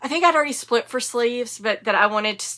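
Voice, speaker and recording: A woman's voice: fairly high pitch, expressive, fairly quick, sharp tone. Sniffly woman chatting about knitting. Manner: chatty, enthusiastic, rambling. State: sniffly from a cold. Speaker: knitting podcast host. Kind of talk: casual show-and-tell chat.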